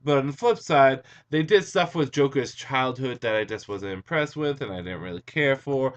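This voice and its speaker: A young man with a raspy, hoarse voice, chatting casually and eagerly as a comic book reviewer, recorded on a computer webcam. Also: medium pitch, lively intonation, moderate pace. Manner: enthusiastic, chatty, with frequent um and uh. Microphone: computer webcam mic.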